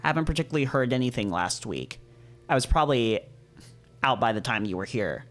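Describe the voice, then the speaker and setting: A man voicing a tired, older maned wolf neighbor in a visual novel, polite, informal and unhurried. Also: mid-range voice, animated, fairly bright tone, lightly acted. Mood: tired, cordial.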